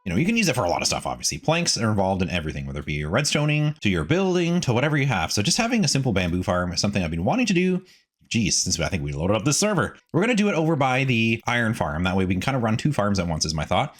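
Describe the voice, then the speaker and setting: A man hosting a gaming video, giving casual, chatty gameplay commentary and thinking out loud as he goes. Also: lowish voice, very animated, racing.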